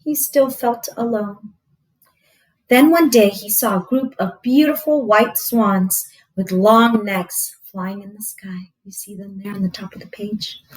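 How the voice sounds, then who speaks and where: A woman reading a storybook aloud to children as a teacher, expressive and explaining things simply. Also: mid-range voice, unhurried, low-quality recording. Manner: expressive, explains to young listeners.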